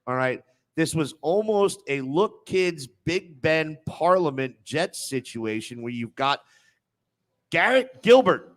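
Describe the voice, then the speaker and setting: Male sports panel host, somewhat high voice, blunt, combative, quick to interrupt. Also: sing-song. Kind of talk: heated sports debate and banter.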